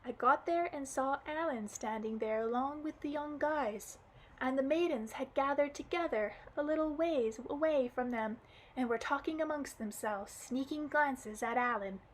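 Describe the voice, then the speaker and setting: A woman's voice: normal speed, a little hiss or hum, natural voice, rough recording. A woman reading a storybook aloud and voicing a dramatic, tearful little girl who sobs out her complaints. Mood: telling. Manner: high, sobbing, wailing delivery.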